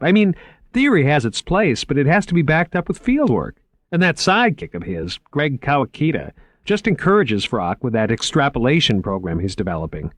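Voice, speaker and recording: An eager young museum curator with a raised, lively voice, as a male narrator puts him on. He gushes about his work and stammers when caught off guard. The sound is dry and close. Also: medium pitch, dry recording, pitched above the performer's own voice.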